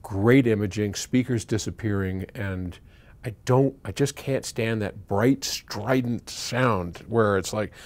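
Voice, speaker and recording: A man's voice: on the low side, takes it slowly, darkish tone. An American man in his sixties explains things informally to camera, opinionated and conversational, in stop-and-start phrases. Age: 60s. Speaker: audio company founder answering listener questions. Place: indoors in an electronics workshop.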